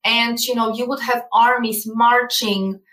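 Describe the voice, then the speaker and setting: A woman's voice: a little high, fairly even intonation, a little slow, a bit bright, reverberant. Woman, Polish accent, guest in an interview, earnest, repeats words, far from the mic. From Poland. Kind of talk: casual interview talk.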